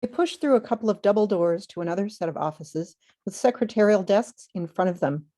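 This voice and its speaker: A woman's voice: medium pitch, animated, fairly quick, warm tone. A woman narrator reading scripted stage directions at a table read.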